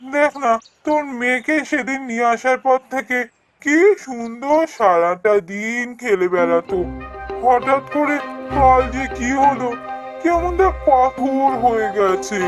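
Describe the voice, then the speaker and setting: Man acting as crafty woodcutter, cartoon fairy tale, innocent act, slow theatrical delivery, background music. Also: extremely high pitch. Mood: feigned worry. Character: wily male village woodcutter, feigning innocence.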